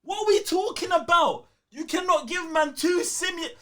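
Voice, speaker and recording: A man's voice: very high voice, moderate pace, bright, forceful tone. A man with a London accent hosting a gaming podcast, ranting in slangy, emphatic banter and repeating phrases for emphasis. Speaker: podcast host.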